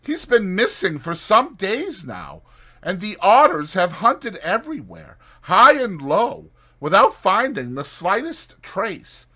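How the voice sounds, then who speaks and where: Guy doing a worried little rat fella from a storybook, high bright voice, earnest and emphatic. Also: sing-song, takes it slowly, full character voice. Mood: grave, worried. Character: earnest, worried male water rat, gentle animal friend. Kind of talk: dramatized storybook character reading.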